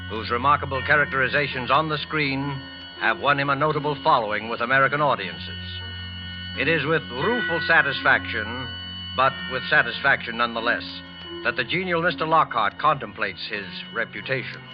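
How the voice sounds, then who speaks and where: An actor playing a suave, ominous host, introducing a thriller in measured 1940s radio style. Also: male voice, medium pitch, close to an everyday voice. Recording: muffled vintage 1940s radio recording. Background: dramatic music.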